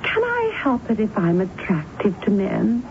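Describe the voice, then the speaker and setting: An actress playing a flirtatious, self-pitying sister who coaxes and pleads, in a 1970s radio drama. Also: female voice, mid-range voice, wildly swooping pitch, a little slow. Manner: coaxing, pleading, breezy. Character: vain flirtatious married woman, self-pitying and wheedling. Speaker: the narrator's sister. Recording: muffled old radio broadcast with faint hiss.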